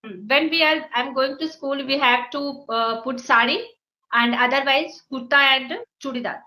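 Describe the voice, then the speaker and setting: High, bright-voiced young Indian woman. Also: normal speed, poor audio. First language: Maithili. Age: 20s. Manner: chatty, often says "ma'am", laughs while talking. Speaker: schoolteacher. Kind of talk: casual conversation practice.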